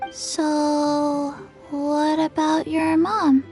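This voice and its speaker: A woman voicing a small, solemn undead girl in a video game, childlike, halting and deadpan. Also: sits high, faint music behind. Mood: flat, earnest. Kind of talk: English video game voice acting.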